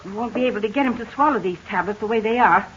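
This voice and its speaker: A brisk, homespun motherly woman tends a fevered patient in a 1940 radio serial, as an actress puts her on. Her talk is fussing and practical. The transcription is muffled with a faint hiss. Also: mid-range voice, close to an everyday voice. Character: kindly motherly older woman, practical nurse. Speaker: Mrs. Donovan. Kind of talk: 1940s radio acting.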